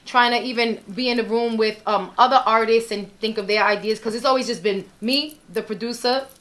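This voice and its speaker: A woman's voice: a little high, fairly even intonation, brisk, fairly bright tone. A woman, chatting casually as a podcast guest, full of you-knows and likes. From New York, Spanish Harlem. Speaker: guest singer.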